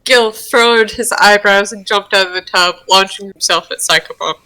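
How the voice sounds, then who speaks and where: Bright-voiced woman narrating a story. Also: medium pitch, normal speed, poor audio. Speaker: roleplay reader. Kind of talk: narrating a story read aloud.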